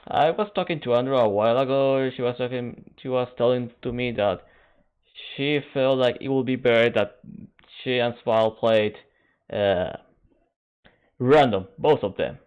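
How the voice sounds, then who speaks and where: Phone-like, halting male stream commentator. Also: mid-range voice, smooth. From Canada. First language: Spanish. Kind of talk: casual stream chat while waiting for a match. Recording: narrow phone-like band.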